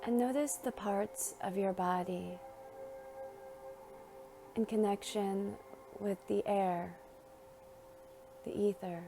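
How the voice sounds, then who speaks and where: A woman narrating a guided meditation in a gentle, soothing, unhurried manner, leaving long pauses between phrases. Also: medium pitch, steady tone, over music.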